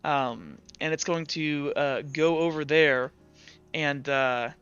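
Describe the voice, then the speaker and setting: High-voiced man narrating a tabletop game. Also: fairly bright tone, clear, resonant tone, dry recording, muffled recording. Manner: halting, dramatic, swears casually. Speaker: game master.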